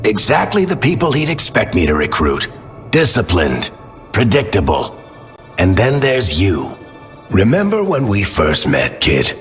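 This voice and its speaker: An actor playing a wounded veteran team leader who talks wryly and coaxingly, like a mentor, in a video game cutscene. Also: male voice, fairly low pitch, huge pitch swings, a little slow, a bit bright, big-room sound, soft background music, exaggerated voice.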